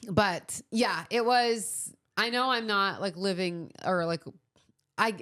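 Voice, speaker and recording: A woman's voice: mid-range voice, slow pace, a bit bright. A woman co-hosting a podcast, sniffly from a cold, chatting in a gushing way with lots of fillers. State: sniffly, a bit under the weather. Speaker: podcast co-host. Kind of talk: casual chat.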